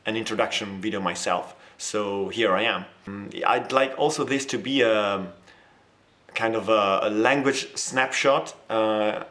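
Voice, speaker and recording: A man's voice: on the low side, unhurried, fairly bright tone. A man in his thirties with an Italian accent, talking to camera in a hesitant way with many fillers and restarts. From Italy. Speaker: language teacher and polyglot. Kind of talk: casual talk to camera.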